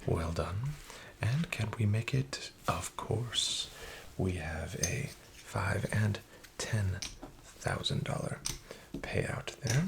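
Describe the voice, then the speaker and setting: A man plays a sketchy casino dealer who is smug and looks down on the player. He speaks softly and half-whispers in a very deep, gravelly voice, politely but slyly. It is recorded close to the mic with clear background noise. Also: slow pace, exaggerated voice. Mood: smarmy. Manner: soft, half-whispered, smarmy and falsely polite. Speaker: ASMR roleplayer. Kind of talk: soft-spoken ASMR roleplay.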